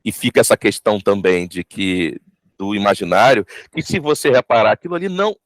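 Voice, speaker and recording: A Brazilian man, a voice actor, giving reflective, explanatory interview answers in a halting way, repeating words as he goes. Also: lowish voice, animated, no room sound. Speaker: voice actor interviewed about an audio drama.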